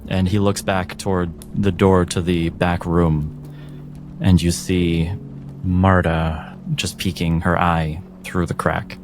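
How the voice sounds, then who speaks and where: A man narrating a tabletop role-playing game as game master, in a measured, dramatic way. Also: sits low, little pitch movement, noisy background. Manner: measured, dramatic scene-setting. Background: music.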